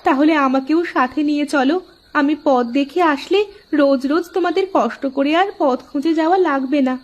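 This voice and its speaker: A woman's voice: sits high, brisk, dull audio, lightly acted. A woman playing an affectionate, worried village housewife in a cartoon, pleading and fussing over the husband. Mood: concerned. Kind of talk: cartoon voice acting.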